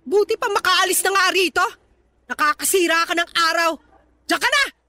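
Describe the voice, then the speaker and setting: Radio-drama role: sharp, high, bright-voiced nagging mother, bitter and reproachful. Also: normal speed, dry recording. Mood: scolding. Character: scolding poor mother, middle-aged, bitter and nagging. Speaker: actor.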